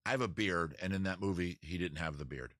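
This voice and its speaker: A man's voice: low voice, brisk, a bit bright, no echo, clean studio sound. A man hosting a live-streamed beer-and-songs show, rambling and joking about himself between songs, talking to the chat from under a small outdoor rain tent. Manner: rambling, self-mocking, chatty with the chat. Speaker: host, singer-guitarist. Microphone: microphone on a live-stream setup. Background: guitar.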